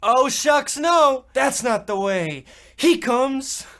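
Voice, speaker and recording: A man playing an earnest, excitable Depression-era boy in a comedy radio play, with halting, folksy old-time exclamations. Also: very high-pitched, full character voice.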